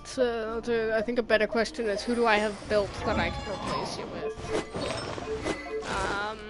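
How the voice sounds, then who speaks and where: A woman's voice: on the high side, level tone, moderate pace. A woman doing casual gameplay commentary, rambling and thinking aloud in a playful, exclaiming way. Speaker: game streamer. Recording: game music behind the voice. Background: video game music.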